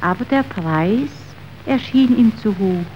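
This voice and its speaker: A compassionate young woman pleading for the poor in a radio play. Her high voice moves very slowly and solemnly, as verse declamation. The recording is dull, with light noise behind it. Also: lively intonation. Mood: solemn, indignant.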